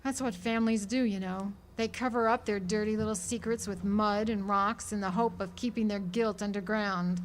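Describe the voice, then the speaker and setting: A woman's voice: mid-range voice, fairly even intonation, normal speed, hardly any pauses, slight background noise, lightly acted. A woman playing a guilt-laden, defiant small-town woman in a radio drama, confessing with earnest, dramatic delivery. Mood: bitter. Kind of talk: radio drama acting, 1940s setting. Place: live amateur radio drama performance at an amphitheater.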